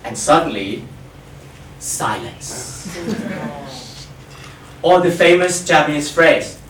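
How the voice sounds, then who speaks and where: A man's voice: a little high, lively intonation, slow, echoey room, far from the mic, crowd noise, poor audio. A man from Thailand, telling a personal story on stage with wry, self-mocking humor.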